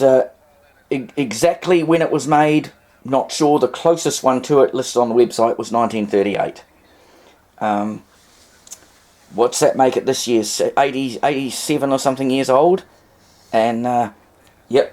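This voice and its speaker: A man's voice: medium pitch, darkish tone. A man giving a casual, rambling, hesitant demonstration as a hobbyist presenter. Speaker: vintage radio hobbyist presenter.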